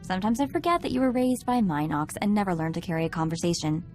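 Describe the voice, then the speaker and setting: A woman's voice: on the low side, lively intonation, fast talker, a little mellow, faint music behind, lightly acted. A woman playing a spirited, teasing young female sidekick with a chatty, informal manner in a video game.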